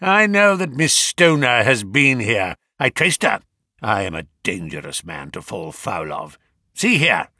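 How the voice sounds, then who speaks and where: A man's voice: lowish voice, sing-song, a little slow, pushed and bright, no room sound, studio quality, heavily acted. Old brute of a villain played by a man: irate, threatening, snarly, very dynamic delivery for an audiobook. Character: furious, menacing, huge old male villain, a doctor.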